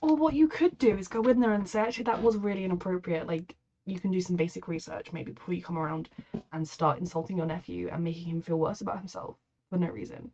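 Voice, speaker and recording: A woman's voice: on the low side, fairly even intonation, quick pace, warm tone, muffled recording. Sniffly woman with a head cold. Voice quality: nasal from a cold. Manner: chatty, empathetic, talks to the characters. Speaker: reaction video host. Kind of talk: casual reaction commentary.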